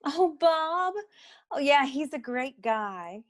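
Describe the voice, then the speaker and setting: Woman playing a know-it-all secretary in a noir comedy, lightish high voice, curt, dry and kinda testy. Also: very animated, slow pace, exaggerated voice. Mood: breezy. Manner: curt, matter-of-fact, dryly superior. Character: brisk, testy, know-it-all female detective agency secretary. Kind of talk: noir comedy audio play dialogue.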